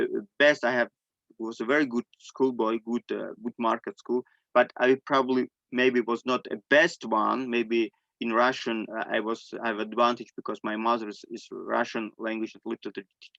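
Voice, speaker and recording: A middle-aged man from Armenia, a native Armenian speaker, tells a personal story in halting non-native English, searching for words. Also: medium pitch, gravelly, a bit bright, dry recording, dull audio. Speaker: guest sharing personal testimony. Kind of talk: personal storytelling in an online discussion.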